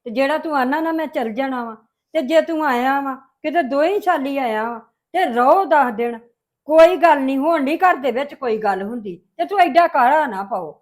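A woman's voice: high-pitched, lively intonation, normal speed, darkish tone. A woman acting a worried village mother who scolds her daughter-in-law and pleads with her son. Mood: pleading. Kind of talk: family drama acting.

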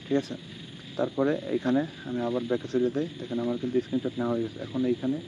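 A man rattles quickly through a phone tutorial, keeping his pitch flat and repeating himself as he goes. The sound is dull and lo-fi, as if captured on a phone mic. A steady insect-like hum sits clearly in the background. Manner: rambling, repeats "ঠিক আছে" between steps. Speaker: tutorial host. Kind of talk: casual screen-recorded walkthrough.

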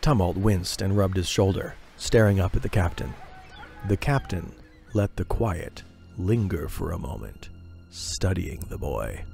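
A man's voice: deep voice, animated, measured pace, creaky, a little mellow. A man narrating a scripted fantasy audio drama in a dramatic storytelling style. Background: music.

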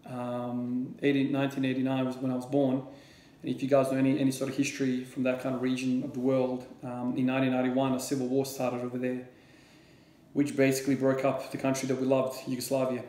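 A man's voice: mid-range voice, monotone, brisk, mellow, echoey room. A man in his thirties from Croatia, answering viewer questions to camera in a casual, rambling way with many fillers and repeated words. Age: 30s. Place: small room at home with shelves. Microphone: camera or laptop at desk distance.

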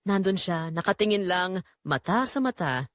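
A woman's voice: on the low side, animated, normal speed, no room sound, clean studio sound, natural voice. A woman playing a guilty middle-aged mother confessing a forbidden affair in an emotional, scripted romantic audio drama. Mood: tense, yearning.

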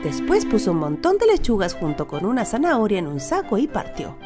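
A woman reading a children's storybook as narrator, with expressive scripted delivery. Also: medium pitch, brisk. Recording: music behind the voice.

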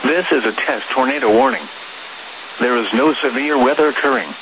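A man reading a scripted emergency alert as a weather radio announcer, formal, measured and impersonal, over the radio. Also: medium pitch, animated, vocal fry, lo-fi sound. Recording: noisy weather radio broadcast.

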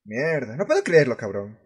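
Guy dubbing a pissed off expat youtuber, latin american spanish, mid pitched male voice, sarcastic, sweary, looking for a fight. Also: a bit bright, husky, exaggerated voice. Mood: exasperated, insulting. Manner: sarcastic, confrontational, swearing, emphatic. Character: furious, foul-mouthed male expat vlogger ranting about rude tourists. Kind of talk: fan dub of a vlogger's angry rant.

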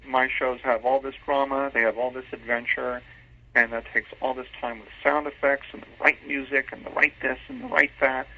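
A man's voice: medium pitch, level tone, brisk, slight background noise. A man speaking casually and a little hesitantly as an interview guest, self-deprecating about his radio drama work. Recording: dull phone-line sound.

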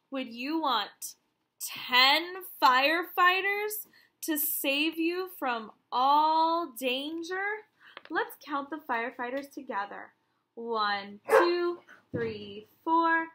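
High-pitched, very slow woman teacher. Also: bright, forceful tone, slight background noise. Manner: slow, encouraging, sing-song address to young children. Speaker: teacher reading aloud to young children. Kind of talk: children's read-aloud and counting lesson.

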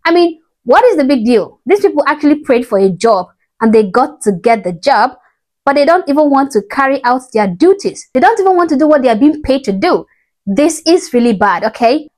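A woman's voice: sits high, very animated, normal speed, darkish tone. A young woman giving an emphatic, preachy opinion commentary to camera from her bedroom, often tagging her points with "okay?", on a lapel mic. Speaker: vlogger. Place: bedroom indoors.

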